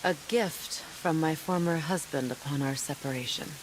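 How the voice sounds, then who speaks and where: A woman playing a wise, sorrowful, motherly witch in a video game, speaking in a measured, solemn and tender way. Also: low voice, noisy background, lightly acted. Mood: gentle, wry. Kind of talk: dramatic video game cutscene acting.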